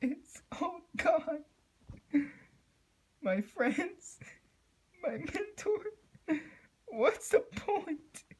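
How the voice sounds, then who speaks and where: A woman's voice: very high voice, sing-song, extremely slow, pauses often, darkish tone, voice pushed up, full character voice. A woman voicing an earnest, anxious and confused female cartoon pony, hesitant and worried, in a horror story reading. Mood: tearful despair.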